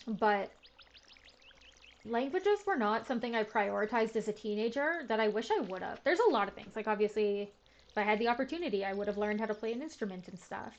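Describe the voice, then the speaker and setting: A young woman, chatting in a rambling, filler-heavy, self-deprecating way while playing a game. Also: medium pitch. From Canada. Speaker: gaming video host. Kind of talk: casual chat while playing a farming game. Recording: slightly muffled with faint game music.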